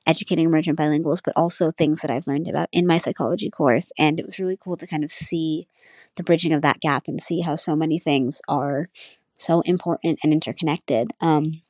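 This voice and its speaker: Young adult woman, native Nepali speaker, low voice, musing student reflection, dry close recording. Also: fairly even intonation, brisk, a little mellow, dry recording. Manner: thoughtful, searching, full of "um" and "like". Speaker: college student. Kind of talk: casual spoken class reflection.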